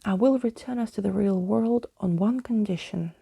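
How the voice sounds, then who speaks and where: Woman reading as a fussy breathy skeleton gentleman. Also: mid-range voice, moderate pace. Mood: indignant. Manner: quaint, indignant, wordy. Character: fussy, theatrical male living skeleton with old-fashioned exclamations.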